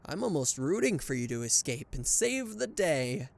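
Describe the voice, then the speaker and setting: A man's voice: on the high side, big pitch swings, moderate pace, gravelly. A man voicing a smug male anti-hero villain who gloats and teases a captured hero in an ASMR roleplay, with a mocking, flirtatious drawl. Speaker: voice actor.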